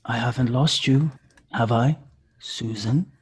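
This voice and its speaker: A man playing a sinisterly polite, taunting supernatural kidnapper with a husky, gravelly voice in a screenplay table read. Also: mid-range voice. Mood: coolly menacing. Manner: slow, taunting, mock-courteous.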